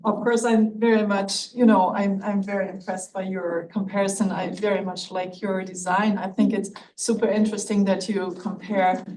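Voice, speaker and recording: A woman's voice: mid-range voice, level tone, moderate pace, few pauses, darkish tone. A woman from Germany with a German accent, making a hesitant, roundabout and polite academic comment as an audience member. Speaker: visiting fellow, audience questioner. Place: lecture room audience. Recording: distant mic, faint room noise.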